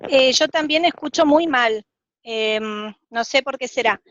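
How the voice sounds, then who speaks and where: An Argentine woman from Córdoba speaking casually and haltingly as a participant in an online class. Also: high voice, fairly bright tone, no room sound. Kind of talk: casual self-introduction. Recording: dry, dull video-call audio.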